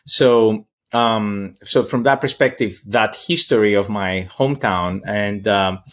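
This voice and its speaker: A man from Greece with a Greek accent answers interview questions as a professor, thoughtful and halting as he searches for words. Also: on the low side. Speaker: interview guest, political science professor.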